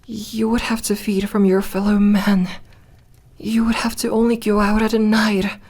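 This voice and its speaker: Actress as lonely vampire girl for ASMR roleplay: slow, halting delivery, intimate and lovestruck, mid-pitched. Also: level tone, a little mellow, lightly acted. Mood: stunned, hesitant. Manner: hesitant, halting, intimate, sometimes dropping to a whisper.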